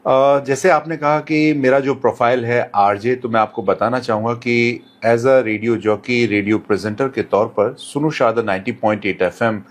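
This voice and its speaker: A man who works as a radio presenter, answering interview questions in measured, courteous, formal phrasing with English words mixed in. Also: mid-range voice. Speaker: radio presenter, podcast guest.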